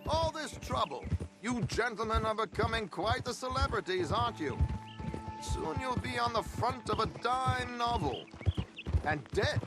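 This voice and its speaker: An actor playing a flamboyant, smooth-talking con artist in an Old West game, with a pompous, teasing manner and florid wording. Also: male voice, extremely high pitch, animated, a little slow, loud music behind the voice. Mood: wry, breezy. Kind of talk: game cutscene dialogue.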